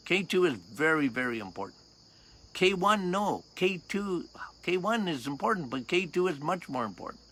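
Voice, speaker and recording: An older man with a loud voice, a doctor hosting a health podcast outdoors, talking casually and emphatically, repeating key points and quizzing his listeners. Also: a little high, sing-song, normal speed. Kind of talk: casual health talk monologue.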